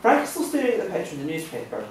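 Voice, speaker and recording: Male stage-directions reader for a table read: animated intonation, scripted delivery, far from the mic in a reverberant room. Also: a little high, very fast. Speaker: narrator reading stage directions. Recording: echoey room, distant mic, faint noise.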